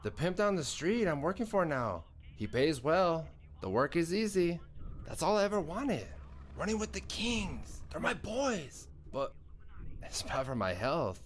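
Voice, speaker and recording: An actor playing a cocky, boastful young street thug in a video game, brash and full of slangy swagger. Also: male voice, fairly high pitch, sing-song, a little slow, slight background noise. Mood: bragging, uneasy. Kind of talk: video game dialogue acting.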